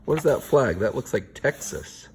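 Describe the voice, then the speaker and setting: A man's voice: medium pitch, expressive, normal speed, noisy background. A man reading a picture book aloud as a father to kids, with playful, theatrical delivery and casual asides.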